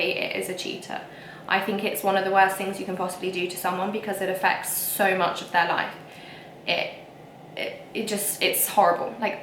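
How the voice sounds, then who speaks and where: A woman's voice: mid-range voice, a bit bright, roomy sound, a little hiss or hum, rough recording. A young woman with a whiny voice, ranting frankly to camera in a casual vlog. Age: young adult. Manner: emphatic and frank, with frequent fillers. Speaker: vlogger.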